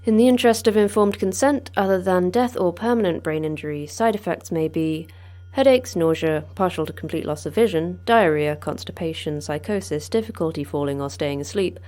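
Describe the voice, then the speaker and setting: An actress playing the terse, deadpan manager of a secret research facility in an audio drama. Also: female voice, fairly low pitch, fairly quick, few pauses, close to an everyday voice. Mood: deadpan, wry. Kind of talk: audio fiction acting.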